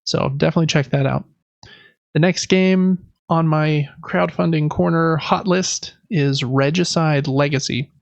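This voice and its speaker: A man's voice: on the high side, steady tone, measured pace, vocal fry, no echo, lo-fi sound. A man chatting casually and enthusiastically as a podcast host. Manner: enthusiastic, informal. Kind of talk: casual board game podcast chat.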